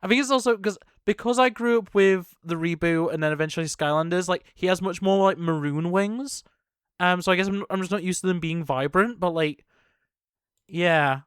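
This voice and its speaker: A man's voice: high voice, moderate pace, a bit bright, clear, resonant tone, no echo, clean studio sound. A young man giving casual, off-the-cuff reaction commentary on a video game trailer, rambling and thinking aloud as he goes.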